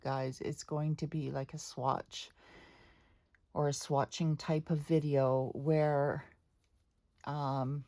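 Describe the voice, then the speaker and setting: A woman hosting her own art channel, chatting casually at her dining table. She rambles with many fillers and asides to viewers, her voice lower than usual today. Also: dark tone. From Canada. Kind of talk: casual unscripted chat. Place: home dining room table.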